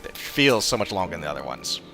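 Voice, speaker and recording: A man's voice: low-pitched, very animated, fast talker, bright, forceful tone, faint music behind. A man chatting casually on a live stream as he plays a game, musing and trailing off. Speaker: streamer playing a game and voicing its dialogue.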